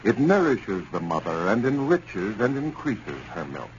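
A man's voice: fairly low pitch, big pitch swings, unhurried. An American man giving a scripted announcement and sponsor narration as a 1930s radio announcer. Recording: muffled, hissy 1930s radio recording.

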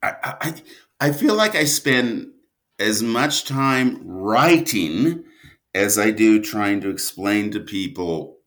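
He is a man with a lowish voice, talking as a guest in a casual interview. His delivery is slow and halting, with restarts mid-thought. The intonation moves widely, which keeps him animated. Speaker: interview guest, audio drama writer.

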